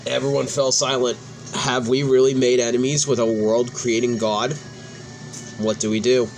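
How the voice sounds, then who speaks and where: A man's voice: mid-range voice, little pitch movement, normal speed. A man hosting a let's play, reading game dialogue aloud with casual commentary and stumbling over words now and then. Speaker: host of a let's play channel. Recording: music playing behind the voice.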